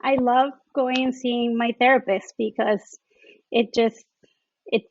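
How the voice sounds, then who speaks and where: A Mexican-accented woman with a high, bright-toned voice speaks as a podcast guest. She is effusive and enthusiastic. The sound is dry and slightly muffled. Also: steady tone, takes it slowly, no room sound. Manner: effusive, enthusiastic, repeats "I love". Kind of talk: conversational interview.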